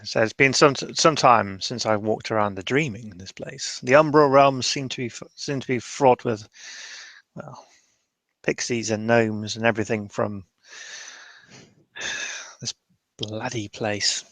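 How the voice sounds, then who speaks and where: A man's voice: mid-range voice, fairly even intonation, measured pace, fairly bright tone. A world-weary male mage played by a man in a tabletop fantasy game. He is wry and reluctant, grumbling and rambling in a mid-range voice. The sound is dry, with no room echo. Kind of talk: improvised tabletop roleplay, in character.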